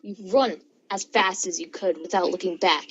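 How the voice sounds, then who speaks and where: A woman's voice: on the low side, moderate pace, fairly bright tone, a little hiss or hum. A young woman reading fan fiction aloud to friends, giggly and stumbling over words, with joking asides. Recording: dull lo-fi mic, clear chatter around. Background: friends chattering and laughing.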